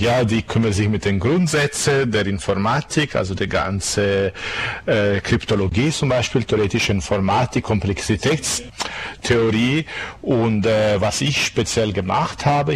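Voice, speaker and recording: Low-voiced man with an Italian accent. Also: husky. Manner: hesitant, many filler sounds, chuckles often. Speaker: interview guest. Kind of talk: casual interview chat.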